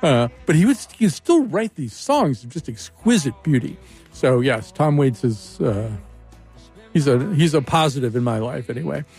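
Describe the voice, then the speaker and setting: A man's voice: medium pitch, big pitch swings, normal speed, a little mellow, breathy edge, soft background music. A man hosting a call-in radio show, talking casually in a rambling, wry way and often restarting his sentences. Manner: rambling, self-interrupting, wry.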